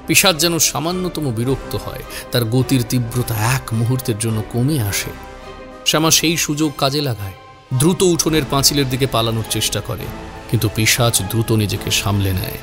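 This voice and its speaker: A man's voice: medium pitch, moderate pace. Male horror story narrator over music.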